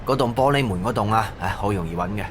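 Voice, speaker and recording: A man playing a chatty, helpful street yogurt vendor in a Cantonese audio drama, with drawn-out hawker cries. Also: fairly low pitch, lightly acted. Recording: noisy street ambience with cars.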